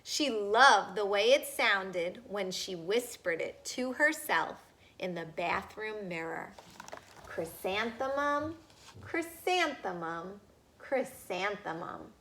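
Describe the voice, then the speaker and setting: A woman reading a picture book aloud to children in an animated way, pausing to ask her young listeners simple questions. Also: medium pitch, slight background noise.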